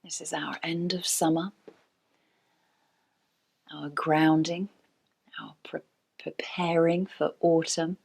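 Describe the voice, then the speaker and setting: A woman teaching restorative yoga, giving calm, unhurried guided relaxation in a soft, sometimes breathy voice. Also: deep voice. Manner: calm, unhurried, gentle pauses. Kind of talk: slow guided relaxation instruction.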